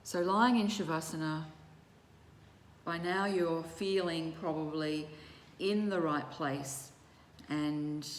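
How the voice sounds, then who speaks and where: A woman leading a guided relaxation as a yoga teacher, speaking in measured, soothing short phrases with long pauses. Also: fairly low pitch. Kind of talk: guided meditation instruction. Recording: roomy echo with faint background noise.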